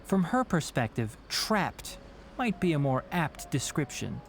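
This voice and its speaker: A man playing an earnest, thoughtful scholar in a video game, explaining things in a measured, respectful way. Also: a little high, big pitch swings, gravelly, faint music behind, full character voice. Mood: solemn, explanatory. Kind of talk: video game voice acting, storytelling.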